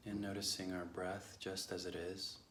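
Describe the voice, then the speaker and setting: A man leading a guided meditation in a measured, unhurried way with long pauses between phrases. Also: low voice, level tone, fairly bright tone, reverberant, a little hiss or hum. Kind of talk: guided meditation, slow instruction.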